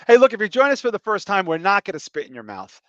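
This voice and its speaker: A man's voice: high-pitched, big pitch swings, rapid-fire, a bit bright, no room sound. A man hosting a casual comedy podcast, cracking crude jokes and plugging the show with energy. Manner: crude joking, enthusiastic show plugs. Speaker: podcast host. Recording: muffled, slightly lo-fi stream audio.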